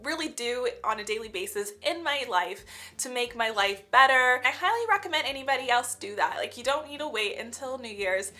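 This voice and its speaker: A woman's voice: a little high, animated, fairly quick, pushed and bright. A young woman with a stuffy, cold-blocked voice, talking in an earnest, chatty way full of "like" fillers in a reflective vlog. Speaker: vlogger. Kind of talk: casual reflective vlog talk.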